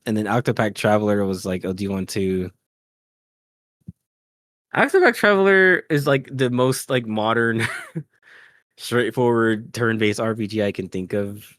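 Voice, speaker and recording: A man's voice: on the low side, no echo, clean studio sound. A man chatting informally as a podcast co-host about games, griping in an offhand, conversational way. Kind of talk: casual chat about video games.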